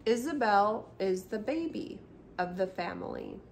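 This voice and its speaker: A middle-aged woman hosting a storytime, reading a children's picture book aloud with expressive, simple storyteller phrasing for young children. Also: mid-range voice, a little slow. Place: small indoor room by a brick wall, seated. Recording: clearly noisy home recording.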